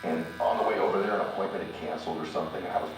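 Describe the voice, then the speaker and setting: A middle-aged man with a deep voice, giving motivational interview talk and telling personal stories in an emphatic way, repeating phrases for effect. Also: racing. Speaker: podcast guest, businessman and motivational speaker. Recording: roomy echo, distant mic, steady electric buzz and hum.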